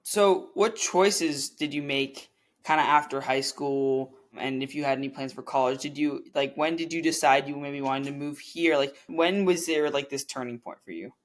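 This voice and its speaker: A man's voice: medium pitch, normal speed. A man hosting a podcast, asking long questions that he rephrases as he goes. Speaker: podcast host. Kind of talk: interviewing.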